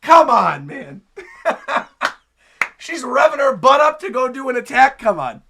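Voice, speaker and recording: A man giving casual, excitable reaction commentary as a streamer, with slangy exclamations. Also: very high voice, a little slow, creaky, a little hiss or hum. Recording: trailer music behind the voice.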